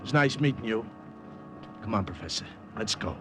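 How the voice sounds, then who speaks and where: A man as a low-voiced, hard-boiled undercover agent. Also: lively intonation, normal speed, very noisy, close to an everyday voice. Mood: wry, hard-boiled. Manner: terse, guarded, confiding when narrating. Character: tense, wry American undercover agent, quietly hard-boiled. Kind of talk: 1950 radio drama acting and first-person narration. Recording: vintage radio recording with music.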